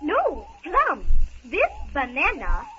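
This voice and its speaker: A woman playing a young castaway in a 1930s radio serial, patiently teaching words in slow, simplified, coaxing phrases. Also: high voice, huge pitch swings, sharp tone, muffled recording, heavily acted. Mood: encouraging. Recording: scratchy, band-limited 1930s radio recording.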